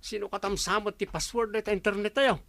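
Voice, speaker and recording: A man's voice: sits high, fairly even intonation, quick pace, vocal fry, a bit bright, dry recording. A man acting as a grumbling, jobless husband in an Ilocano comedy drama, whiny and demanding, with a Filipino accent. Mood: irritated, incredulous. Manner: whiny, complaining. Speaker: actor in an audio comedy drama.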